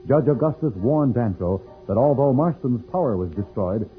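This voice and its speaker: A man's voice: mid-range voice, animated, moderate pace. A man narrating an old-time adventure serial as announcer, in a dramatic, declamatory style. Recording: dull vintage radio recording with music.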